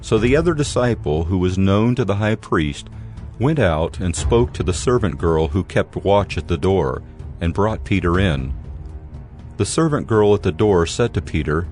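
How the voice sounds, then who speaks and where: Deep male scripture narrator. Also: animated, normal speed, a little mellow, soft background music.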